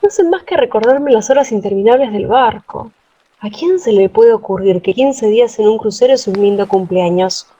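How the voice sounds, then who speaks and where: A woman's voice: fairly high pitch, lively intonation, moderate pace, dark tone, slightly rough. An actress playing a bitter, querulous woman complaining in a radio drama scene. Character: bitter complaining woman on a beach, resentful of gift. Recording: dull lo-fi audio with noise.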